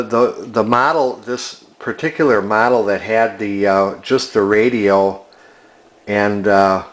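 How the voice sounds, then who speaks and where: A man giving a casual, unscripted walk-through as a collector, talking in a halting, musing way. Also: lowish voice. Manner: hesitant, musing, halting delivery. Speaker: vintage electronics collector presenting a find.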